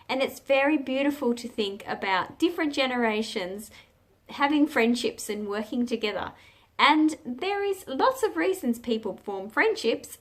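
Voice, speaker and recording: An Australian woman hosting a children's read-aloud, telling stories and explaining them in simple, measured phrases with questions to her listeners. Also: high-pitched.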